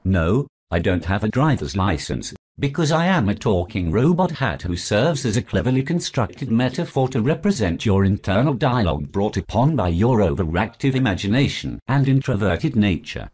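A man's voice: fairly low pitch, very animated, moderate pace, hardly any pauses, a little mellow, slightly rough, voice pushed down. A man voicing a formal, butler-like male robot hat sidekick. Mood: dry, formal. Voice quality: polite butler-like, dry.

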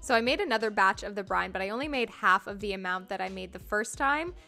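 A woman's voice: medium pitch, animated, fast talker, vocal fry, sharp tone. A young woman casually narrating her home cooking in a chatty, self-deprecating, upbeat way. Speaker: cooking vlogger. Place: home kitchen.

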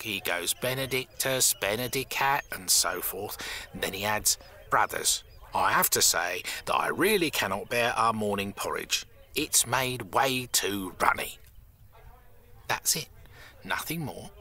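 Bossy crook boss in a radio comedy, bright punchy voice, really animated, wry n chatty. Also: male voice, on the low side, unhurried, full character voice. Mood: wry storytelling. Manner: chatty, colloquial, wry, theatrical in storytelling. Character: gruff bossy male crook boss, fond of jokes. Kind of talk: audio comedy drama acting.

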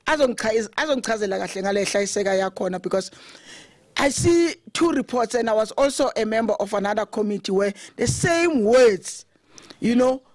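A middle-aged woman with a South African accent giving a loud, conversational funeral tribute at a podium mic under an open thatched shelter, switching between English and Zulu. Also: fairly high pitch, no echo. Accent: South African, mixing Zulu. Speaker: eulogy speaker, committee chair.

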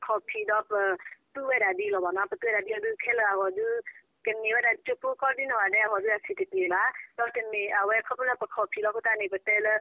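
A man's voice: very high-pitched, big pitch swings, quick pace, gravelly, no room sound. A man giving an unscripted interview comment over a narrow, tinny phone line. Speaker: news interviewee.

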